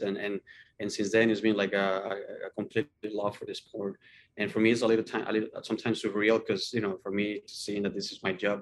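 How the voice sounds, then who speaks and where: A man speaks quickly and fluently in a level, fairly low voice, explaining himself in interview answers. A faint low rumble sits on the recording. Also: low-quality recording. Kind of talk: first-person interview answers.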